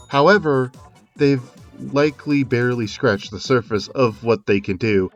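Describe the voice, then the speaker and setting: A man delivering scripted video game analysis commentary with informal, conversational asides. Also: on the low side. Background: music under the voice.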